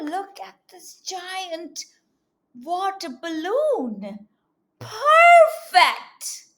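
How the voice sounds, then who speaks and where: Lady doing an animated read-aloud for little kids, really slow, big swoopy pitch, asks and repeats stuff like a teacher. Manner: animated, exclaiming, with coaxing questions and repetition. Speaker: children's storybook reader and teacher. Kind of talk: interactive read-aloud for young children.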